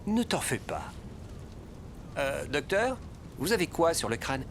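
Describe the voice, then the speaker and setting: A man's voice: a little high, wildly swooping pitch, a little slow, slight background noise, exaggerated voice. An actor playing a reassuring, easygoing man in a French-dubbed cartoon.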